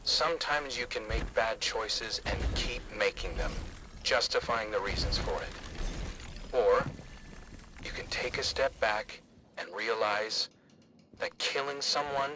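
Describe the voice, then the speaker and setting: An actor playing a reflective, remorseful hero in a video game, speaking in a measured, sincere way. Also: male voice, fairly low pitch, animated, background noise. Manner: measured, thoughtful. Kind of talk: video game character acting.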